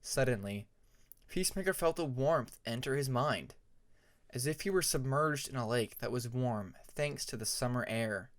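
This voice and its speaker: A man narrating a story from a script as an audiobook, reading deliberately phrase by phrase. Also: medium pitch, animated.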